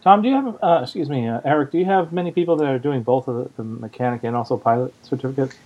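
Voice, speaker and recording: A man's voice: medium pitch, fast talker, husky, highs cut off. A man hosting a casual podcast, talking conversationally as he answers listener questions. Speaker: podcast host.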